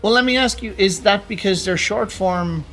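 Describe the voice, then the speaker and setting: A man with a fairly high voice talks casually as a podcast co-host. It is loose, conversational talk, with music playing faintly behind him. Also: moderate pace, a bit bright. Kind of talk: casual chat about film and games.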